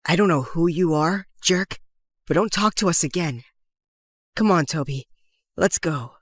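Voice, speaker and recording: A woman playing a spirited, headstrong teenage schoolgirl with an expressive, blunt delivery. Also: on the low side, measured pace, dry recording, clean studio sound. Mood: scornful.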